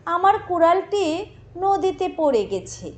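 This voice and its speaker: A woman's voice: extremely high pitch, very animated, slow pace, heavily acted. A woman voicing a poor, honest, humble male woodcutter in a children's storybook, speaking plainly and earnestly. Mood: sad.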